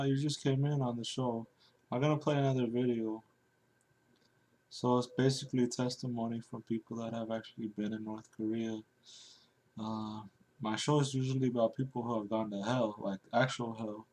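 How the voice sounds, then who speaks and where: Male livestream host. Casual, rambling, flat monotone delivery. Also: medium pitch.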